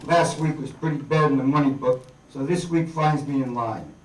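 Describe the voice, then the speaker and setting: A man's voice: medium pitch, normal speed, slight background noise, natural voice. Man playing wisecracking noir tough guy, echoey hall. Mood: flirty. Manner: wry, slangy. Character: wisecracking hardboiled male detective-drifter, flirtatious, down on his luck. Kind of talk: 1950s radio noir acting, read by an amateur performer. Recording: echoey hall, distant microphone.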